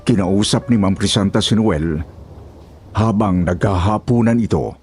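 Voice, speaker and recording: A man's voice: lowish voice, a little slow, darkish tone. A man reading a scripted horror story as its narrator. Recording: music behind the voice.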